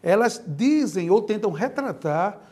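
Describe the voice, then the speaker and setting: A man from Pernambuco with a Pernambuco accent talks through his answers as an interview guest. His voice sits high, and he lays out his points eagerly, with an occasional laugh. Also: unhurried. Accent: Pernambuco Portuguese. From Pernambuco, Brazil. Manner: animated, laughs while making points. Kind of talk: explanatory talk-show interview.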